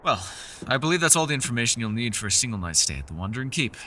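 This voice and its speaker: Man voicing a courteous, bright-voiced, animated ancient elf. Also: mid-range voice, very fast, a little hiss or hum. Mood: welcoming. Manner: formal, old-fashioned phrasing, apologetic, chatty. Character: centuries-old solitary male elf, courteous, gentle, socially awkward. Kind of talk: fantasy ASMR roleplay voice acting.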